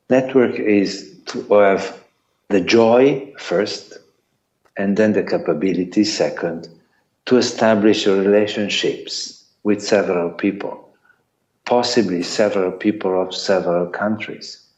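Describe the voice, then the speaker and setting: A middle-aged man with an Italian accent giving a lecture to students, deliberate and repetitive, counting his points off one by one. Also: deep voice, a little mellow. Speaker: sports lawyer giving a talk. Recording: echoey, dull lo-fi sound.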